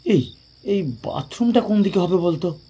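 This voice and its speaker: Male actor for practical young friend in horror audio play; rough, breathy voice, lively intonation. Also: high-pitched, moderate pace. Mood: brisk, dismissive. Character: young man, Raktim, blunt, practical friend on a night trip. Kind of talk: audio drama dialogue in a Bengali ghost story.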